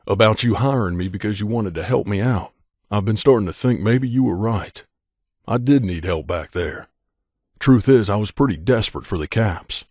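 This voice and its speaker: A man playing a grizzled, guarded veteran mercenary in a video game, with a gruff, curt, world-weary manner. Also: lowish voice, darkish tone, no echo, studio quality, natural voice. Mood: hesitant, reflective. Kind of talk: video game companion dialogue.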